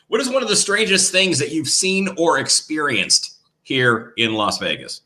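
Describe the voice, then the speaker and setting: A man's voice: fairly high pitch, sing-song, moderate pace, sharp tone. A man hosting a casual interview, joking at his own expense and asking questions. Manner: jokey, self-deprecating, asks questions. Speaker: podcast host.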